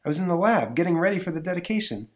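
Male reader doing an earnest young scientist, raised pitch. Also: sing-song, racing.

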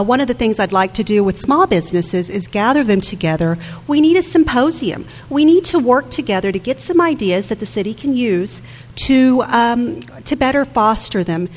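A woman's voice: on the high side, animated, normal speed. A woman in her forties giving earnest campaign remarks as a council candidate, speaking directly to listeners. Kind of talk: prepared campaign remarks at a public forum. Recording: echoey hall with background noise.

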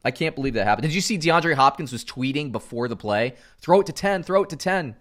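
A middle-aged man from Central Florida co-hosting a casual sports podcast, animated, going from loud outbursts to muttered asides. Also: mid-range voice, quick pace. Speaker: podcast co-host.

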